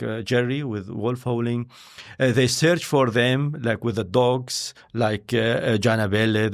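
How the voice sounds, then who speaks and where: A man who is a scientist and filmmaker, speaking English as a second language in a halting way, often pausing to search for words. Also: mid-range voice, gravelly. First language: not English. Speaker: interview guest, scientist and filmmaker. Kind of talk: conversational interview.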